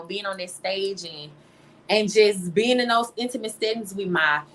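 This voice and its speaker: A woman with a medium-pitched voice talks candidly as a guest in an interview. Her delivery is informal and expressive, and she repeats phrases to drive them home. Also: slight background noise. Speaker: interview guest, singer and songwriter.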